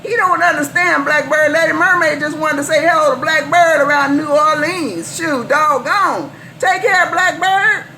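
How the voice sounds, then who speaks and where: A woman's voice: high voice, moderate pace, few pauses, bright, forceful tone. An American woman chatting on CB radio in a playful, boastful way, chanting repeated phrases. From California, US. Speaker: CB radio operator. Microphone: CB radio microphone. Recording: noisy CB radio transmission.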